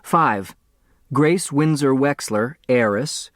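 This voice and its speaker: A formal man announces rules and names in a storybook mystery, acted by a male narrator. He is deliberate and official, at a medium pitch in a very dry recording. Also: a bit bright, no echo. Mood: formal announcing.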